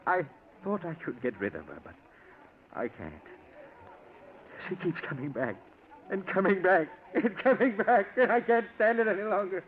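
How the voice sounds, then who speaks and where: An actor playing a guilt-ridden, pleading man confessing to murder in a 1940s radio drama, with halting delivery. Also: high-pitched, sing-song. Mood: despairing. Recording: narrow, noisy vintage radio recording.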